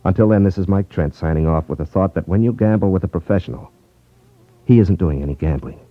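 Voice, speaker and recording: An actor playing a confident, wry detective in a 1950s radio drama, explaining things with self-assurance. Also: male voice, sits low, fast, dry recording. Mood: earnest sign-off. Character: confident, wry male ex-magician rackets detective. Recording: muffled 1950s radio recording.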